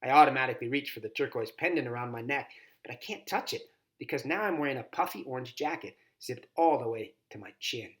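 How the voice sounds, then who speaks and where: A man's voice: medium pitch, lively intonation, fast talker, a bit bright. A man reading a children's novel aloud expressively, as a volunteer story reader.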